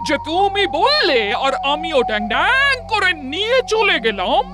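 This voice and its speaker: A woman's voice: very high-pitched, sing-song, moderate pace. A woman voicing an indignant, fierce madam in a comic cartoon, scornful and declamatory. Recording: loud music under the voice.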